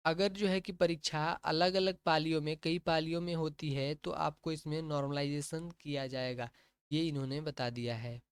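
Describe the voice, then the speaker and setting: A man with a fairly high, clean and resonant voice, recorded close and dry with no room sound. He explains exam rules step by step like a coaching teacher and keeps checking that his students follow. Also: steady tone, normal speed, clear, resonant tone, no echo. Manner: plain, repetitive explaining with frequent "ठीक है" checks. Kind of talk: explaining a job notification on screen.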